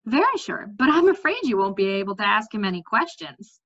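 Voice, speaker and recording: A woman's voice: medium pitch, big pitch swings, brisk, a bit bright, lo-fi sound. A woman reading the part of a candid, matter-of-fact young woman in a fantasy storybook.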